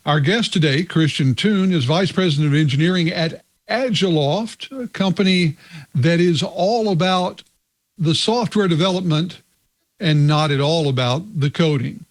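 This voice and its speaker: A man hosting a tech podcast, introducing his guest and asking questions in a measured, deliberate way. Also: fairly high pitch. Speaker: podcast host.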